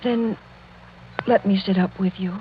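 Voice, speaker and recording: A woman's voice: medium pitch, steady tone, unhurried, lightly acted. An actress playing a devoted, worried young noblewoman in a radio mystery, speaking with pleading urgency. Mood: pleading concern. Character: anxious, devoted young noblewoman, the count's niece. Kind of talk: 1940s radio mystery acting. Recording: muffled, noisy 1940s radio recording.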